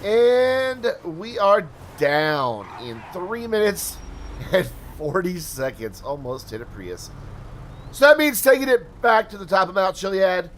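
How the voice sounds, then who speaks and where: A man's voice: sits high, big pitch swings, takes it slowly, a bit bright, a little hiss or hum. A man talking casually over gameplay footage as a channel host, chatty and full of asides, his voice tired from hours of talking. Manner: chatty, rambling asides, self-deprecating.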